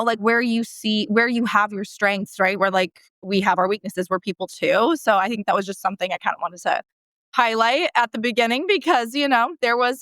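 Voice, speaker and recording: A woman's voice: a little high, fairly bright tone, dry recording. American woman in her thirties, rambling and conversational. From San Diego, California, United States. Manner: rambling, self-correcting, emphatic repetition. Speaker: guest, therapist. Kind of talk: casual conversational interview.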